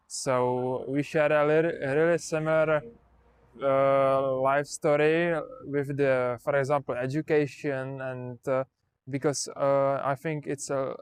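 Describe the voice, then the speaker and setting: A nineteen-year-old man from Czechia speaks in an even, flat tone with a smooth, resonant voice. He hesitates and restarts often. The recording is dry and close. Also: mid-range voice, no echo. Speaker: exchange participant. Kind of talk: casual interview answer.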